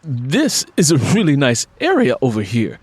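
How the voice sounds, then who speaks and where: A man's voice: mid-range voice, wildly swooping pitch, normal speed, slightly rough, no echo. Smitten, easygoing streetwise guy, acted by a man. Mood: admiring. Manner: casual, streetwise, playful. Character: smitten, hopeful, easygoing working-class man courting a woman. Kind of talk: audiobook character dialogue.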